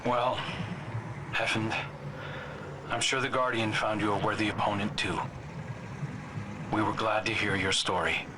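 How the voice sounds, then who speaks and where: A man playing a measured, formal character in a video game cutscene. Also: lowish voice, fairly even intonation, close to an everyday voice. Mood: wry. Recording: clearly noisy ambience behind the voice.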